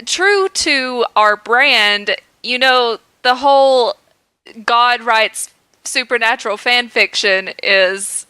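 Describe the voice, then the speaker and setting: Bright, enthusiastic young woman podcaster. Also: fairly high pitch, slow pace, clear, resonant tone, no echo. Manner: enthusiastic, hyperbolic, giggly. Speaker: podcast co-host. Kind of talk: casual fan chat.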